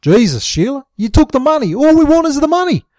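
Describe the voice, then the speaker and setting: Menacing Aussie thug, as the narrator puts him on, clipped and dry. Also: male voice, very high voice, sing-song, a little fast, a little mellow, no echo, voice pushed up. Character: tough crook man, calm and menacing.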